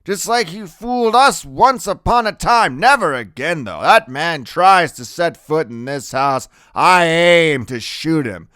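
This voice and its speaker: Man acting a old grandfather, raised insistent pitch, forceful tone, stubborn, protective, resolute, storybook character. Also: animated, unhurried, few pauses, no echo, studio quality. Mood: resolute, angry.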